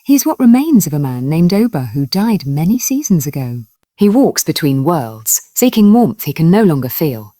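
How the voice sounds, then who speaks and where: A woman's voice: low voice, very animated, normal speed, warm tone, no echo, clean studio sound. A woman voicing a gaunt old village woman in a folktale, giving grim warnings in a grave, foreboding manner.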